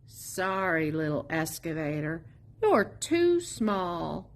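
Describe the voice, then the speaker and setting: A woman reading a children's picture book aloud expressively, in a sing-song, drawn-out way with playful emphasis on sound words. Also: on the low side, big pitch swings. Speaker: storybook reader at a public library.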